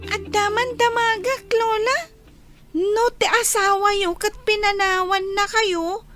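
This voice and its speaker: A woman's voice: extremely high pitch, fairly even intonation, unhurried, a bit bright, no room sound, soft background music. A woman playing a curious, wistful young child in a Filipino audio drama. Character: young child, curious granddaughter missing an absent father.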